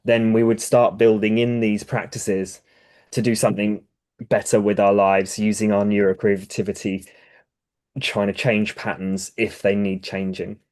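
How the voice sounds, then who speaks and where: Male podcast guest, somewhat low voice, thoughtful explainer, frequent self-corrections. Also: little pitch movement, unhurried, a little mellow. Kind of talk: casual podcast conversation.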